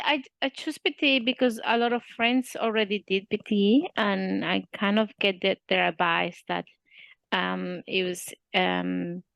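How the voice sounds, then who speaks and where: A woman's voice: medium pitch, creaky, a bit bright, no echo. A young woman with a Spanish accent, talking hesitantly in a casual interview, with many fillers and restarts. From Spain. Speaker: student interviewee.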